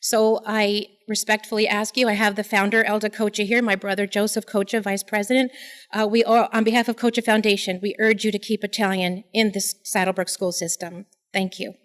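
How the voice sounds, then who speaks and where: A woman's voice: medium pitch, flat delivery, a little fast. A woman speaking for a foundation at a school board meeting, urging the board in a raised voice. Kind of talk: public comment speech.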